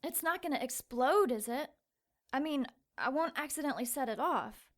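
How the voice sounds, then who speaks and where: A woman's voice: sits high, animated, moderate pace. A woman playing a plucky but wary sidekick in an audio drama. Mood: worried.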